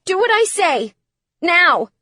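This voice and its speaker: A woman's voice: sits high, animated, normal speed, no room sound, pitched above the performer's own voice. An anxious, protective mother played by a woman narrator in an audiobook story. She is urgent and insistent, pleading and commanding in a raised, dry-sounding voice.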